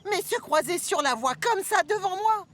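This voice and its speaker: A woman voicing an earnest, friendly young tank engine in a French children's cartoon, expressive, with music behind. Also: very high voice, normal speed, a little hiss or hum. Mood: earnest, rattled.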